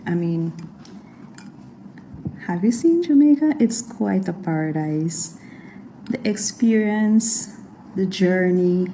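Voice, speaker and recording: A woman vlogging in a slow, unhurried casual chat with "um" pauses. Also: fairly low pitch, warm tone, slight background noise, low-quality recording. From Guyana.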